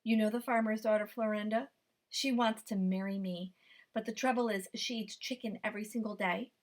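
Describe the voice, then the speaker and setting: A woman voicing a clever small male guinea pig trickster, quick and pleading. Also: mid-range voice, fairly even intonation, close to an everyday voice. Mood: sly.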